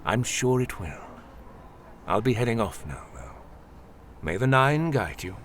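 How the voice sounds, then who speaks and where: An actor playing a kindly, formal High Priest in a fantasy audio drama. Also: male voice, very animated, a little slow, fairly bright tone, slight background noise.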